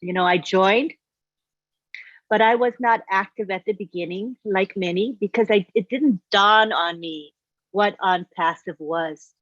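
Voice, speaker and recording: A woman's voice: medium pitch, measured pace, fairly bright tone, no echo, muffled recording. Woman in her sixties telling her story. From Hawaii. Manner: chatty storytelling, frequent "you know". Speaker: guest speaker. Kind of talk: personal testimonial on a live stream.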